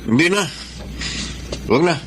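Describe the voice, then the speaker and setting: A man's voice: fairly high pitch, lively intonation, moderate pace, natural voice. An actor playing a pushy, possessive young man with a Filipino accent in a radio drama. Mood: jealous, sulky. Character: pushy, possessive young male rival suitor. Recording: clear background ambience noise.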